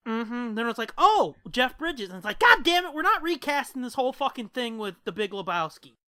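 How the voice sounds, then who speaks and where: A man's voice: very high-pitched, brisk, clear, resonant tone, dry recording. A man co-hosting a podcast in casual conversation, informal and profane as he explains himself. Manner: informal, profane, animated storytelling. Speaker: podcast co-host. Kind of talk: casual chat.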